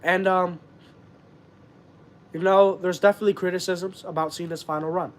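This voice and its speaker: A man's voice: sits high, fairly even intonation, moderate pace. A young man from Canada hosting a wrestling live stream, talking earnestly and rambling with fillers, with a stuffed-up voice. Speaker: wrestling channel host. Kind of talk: casual live-stream chat.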